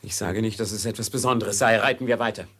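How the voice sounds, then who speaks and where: An actor playing a proud, lovestruck, commanding merchant with a brooding streak, theatrically, in a 1950s radio play. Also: male voice, lowish voice, steady tone, fast talker, a bit bright, slight background noise, lightly acted. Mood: brooding, uneasy. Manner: theatrical, imperious, swinging to brooding. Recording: 1950s mono radio recording with music.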